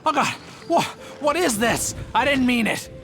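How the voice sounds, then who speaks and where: Cocky cowardly thief. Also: male voice, very high voice, big pitch swings, moderate pace, fairly bright tone, exaggerated voice. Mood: panicked. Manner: brash, whiny when scared. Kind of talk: video game voice acting. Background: game music.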